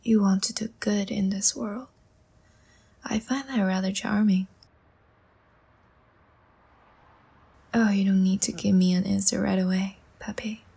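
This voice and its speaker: Slow, breathy, seductive vampire woman played by an actress. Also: medium pitch, exaggerated voice. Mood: tender, predatory. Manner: soft, coaxing, slow and teasing, often breathy near-whisper. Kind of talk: intimate roleplay audio drama.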